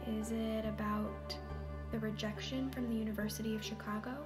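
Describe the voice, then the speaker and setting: A woman's voice: a little high, little pitch movement, normal speed, close to an everyday voice. A woman acting a caring, persistent character who coaxes and probes in naturalistic stage-play dialogue, sometimes hesitantly. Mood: coaxing, probing. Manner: coaxing, probing, hesitant at times. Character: Sonia, caring, persistent woman nudging a withdrawn man. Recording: roomy sound with music behind.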